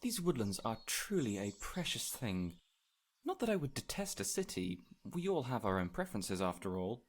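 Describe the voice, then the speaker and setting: Peculiar fey gentleman, played by a man: highly animated intonation, eager and courteous, theatrical roleplay delivery over faint woodland sounds. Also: moderate pace, a little hiss or hum. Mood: whimsical, curious. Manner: flowery, excitable, theatrical phrasing. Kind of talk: immersive audio roleplay addressed to the listener.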